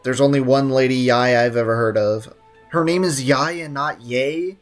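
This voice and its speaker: A man's voice: mid-range voice, moderate pace, soft background music. A man live-streaming a game, commenting in a joking, playful way with lots of slang.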